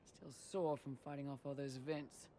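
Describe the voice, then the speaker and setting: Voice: mid-range voice, fairly even intonation, moderate pace, warm tone, slight background noise, lightly acted. A performer playing a cheerful, loyal young male mercenary lieutenant in a video game, with a respectful, easygoing manner. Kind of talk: video game character dialogue.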